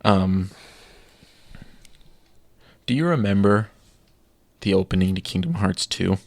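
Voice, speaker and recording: A man's voice: low voice, animated, slow pace, creaky, darkish tone, no echo. A man co-hosting a podcast in casual chat, eagerly building his case point by point. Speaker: podcast co-host.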